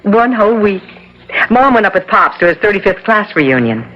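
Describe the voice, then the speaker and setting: An actress playing a friendly, playful young house guest, light, coy and chatty, in seventies radio drama style. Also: female voice, mid-range voice, sing-song, moderate pace. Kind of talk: 1970s radio drama acting. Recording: muffled vintage radio recording.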